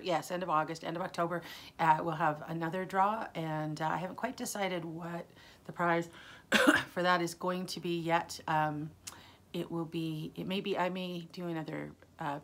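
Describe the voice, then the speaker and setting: Low gravelly-voiced woman podcaster with a cough. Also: fairly bright tone. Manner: rambling, many ums and self-corrections. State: lingering chest cough. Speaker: knitting podcast host. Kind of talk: casual solo podcast chat.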